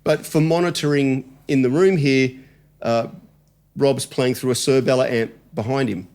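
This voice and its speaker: A man's voice: medium pitch, steady tone, normal speed, a little mellow, smooth. A man hosting a casual music interview, often rephrasing his questions as he goes. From Australia. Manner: chatty, rephrases questions mid-sentence. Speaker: podcast host.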